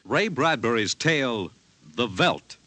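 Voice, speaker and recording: A man's voice: medium pitch, sing-song, slow, very noisy. An American man delivering a scripted, dramatic introduction as a 1950s radio announcer. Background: loud music.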